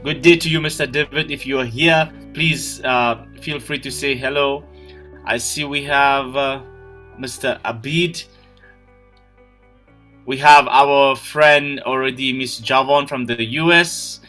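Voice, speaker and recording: Bright-voiced man hosting a webinar. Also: mid-range voice, steady tone, takes it slowly, faint music behind. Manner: friendly, greets attendees by name. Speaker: webinar host. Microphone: video call.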